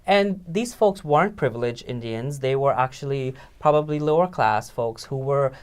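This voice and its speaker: A man's voice: medium pitch, moderate pace, a little mellow, clear, resonant tone. Earnest man guest, thoughtful and reflective. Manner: earnest, reflective, many fillers and tag questions. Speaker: interview guest. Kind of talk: casual interview chat.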